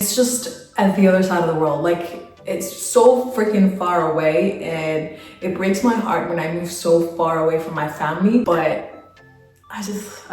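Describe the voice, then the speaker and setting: A woman's voice: on the low side, darkish tone, very echoey, recorded from a distance. A young woman from Spain answering viewer questions in a casual travel vlog, chatty and full of "like" fillers. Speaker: travel vlogger. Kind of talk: casual Q&A chat.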